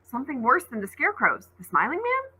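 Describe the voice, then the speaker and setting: A woman teacher reading a novel aloud to her class, in an expressive storytelling manner. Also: quick pace, slightly rough. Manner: expressive read-aloud, voices rising high on called names.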